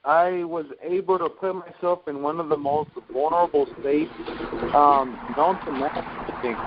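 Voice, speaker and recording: A man in his twenties giving a casual personal account on a group call, halting and slangy as he goes. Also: fairly high pitch, fairly even intonation. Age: 20s. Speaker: call guest, young entrepreneur. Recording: muffled noisy conference call.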